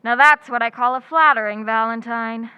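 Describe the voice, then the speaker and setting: A woman's voice: a little high, normal speed, smooth, dull audio, close to an everyday voice. A woman playing a wry, lovelorn schoolteacher in old-time radio comedy, with dry, theatrical wisecracks. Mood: sardonic. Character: wry, lovelorn female high school English teacher.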